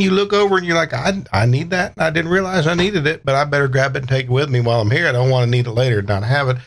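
A man's voice: medium pitch, lightly acted. A man playing an easygoing Texan character with a folksy, rambling drawl in a tabletop roleplay.

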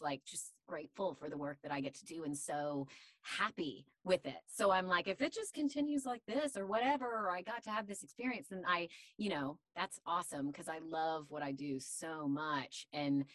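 A woman voice actor with a deep voice, talking candidly and chattily in an interview, with many fillers. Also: no echo. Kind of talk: casual interview chat.

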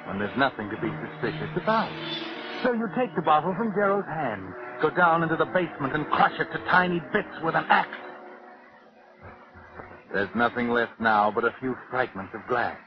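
An actor playing a sinister, all-knowing narrator of a 1940s radio suspense show, insinuating and mocking. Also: male voice, fairly high pitch, expressive, normal speed, natural voice. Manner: insinuating, theatrical. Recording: muffled noisy old radio broadcast.

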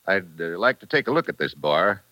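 Cocky low-voiced American secret agent. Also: male voice, sing-song, normal speed, bright, forceful tone, no echo, heavily acted, poor audio. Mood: businesslike. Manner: wry, teasing, breezy. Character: cocky, flirtatious American secret agent posing as foreign correspondent. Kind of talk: old-time radio drama acting.

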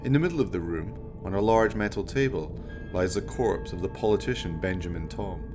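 A man's voice: deep voice, fairly quick, music in the background. A man giving scripted narration as the storyteller of a radio play.

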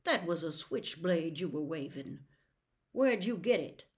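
A grave, earnest lawyer and father, voiced by a woman narrator. He pleads in a measured, grim tone, slow and heavy with conviction. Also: low voice, lively intonation. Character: grave middle-aged male lawyer and father. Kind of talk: audiobook dialogue reading.

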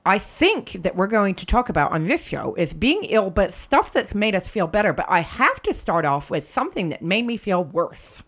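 Phlegmy, lively woman. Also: medium pitch, moderate pace, few pauses. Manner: chatty, restarts her sentences. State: phlegmy with a cold. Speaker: podcast co-host. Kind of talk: casual comedic chat.

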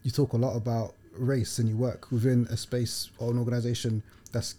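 Mellow-voiced male interviewer, casual. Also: medium pitch, level tone, fairly quick. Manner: casual, rambling questions with informal grammar. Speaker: interviewer, host. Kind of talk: interview questions.

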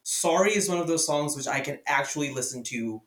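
A man's voice: fairly high pitch, brisk. A young man whose first language is Urdu or Hindi, hosting a casual music podcast and talking with enthusiasm and lots of fillers. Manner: enthusiastic, lively, many fillers. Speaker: podcast host. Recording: echoey room.

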